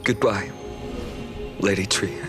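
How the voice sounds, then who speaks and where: An actor playing a gentle, earnest young demigod leader in a fantasy game, speaking in a measured, formal, heartfelt way. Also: male voice, low voice, fairly even intonation. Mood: tender. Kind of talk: scripted fantasy game voice acting. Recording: music behind the voice.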